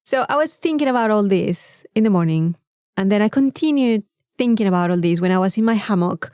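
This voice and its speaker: A woman's voice: medium pitch, lively intonation, a little fast, warm tone, smooth, dry recording, studio quality. A woman with a Mexican accent and Spanish as her first language, chatting casually as a podcast co-host. She is effusive and repeats words for emphasis. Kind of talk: casual chat, anecdotes.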